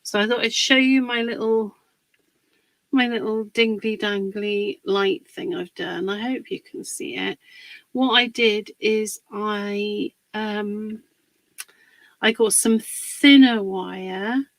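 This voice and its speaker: A woman hosting a casual live craft demonstration, a little husky from a cold, chatting and greeting viewers by name. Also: mid-range voice, steady tone, takes it slowly, no echo, low-quality recording. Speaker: craft livestream host.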